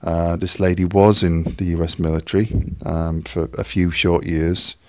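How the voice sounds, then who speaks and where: A man with a hoarse, croaky voice hosting a solo podcast, talking haltingly with many fillers and restarts. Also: low voice, little pitch movement, a little mellow, dry recording, clean studio sound. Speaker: podcast host. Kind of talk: casual solo podcast talk.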